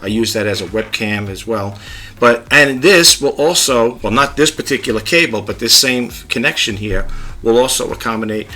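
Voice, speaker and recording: Chatty man demoing gadgets, bright tone. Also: normal speed. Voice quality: low-key, self-described monotone. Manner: chatty, rambling, demonstrating gadgets. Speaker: YouTube vlogger. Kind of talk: casual tech demo vlog. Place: home desk.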